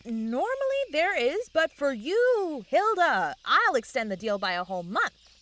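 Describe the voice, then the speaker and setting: High, animated, sweet-talking young woman actress. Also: a little slow, no echo, full character voice. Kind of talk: comedic fantasy audio drama acting.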